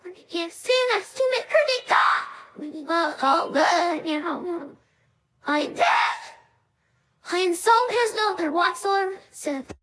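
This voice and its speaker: A woman voicing a feisty cartoon character with dramatic flair, in an animated series style. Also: extremely high pitch, slow, a little hiss or hum, exaggerated voice. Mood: indignant.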